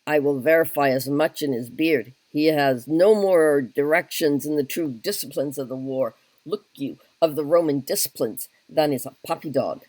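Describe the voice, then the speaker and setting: A man's voice: on the high side, moderate pace, darkish tone, no echo, natural voice. A man doing a fussy captain. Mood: lecturing. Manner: fussy, wordy, repeats "look you". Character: pedantic talkative male Welsh captain. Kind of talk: solo dramatic reading of a stage play.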